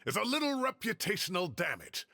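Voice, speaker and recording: An actor playing a blustery, indignant but kindly retired professor in a video game, with a theatrical, exclamatory delivery. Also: male voice, high-pitched, wildly swooping pitch, fairly quick, sharp tone, full character voice. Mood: earnest. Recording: music playing behind the voice.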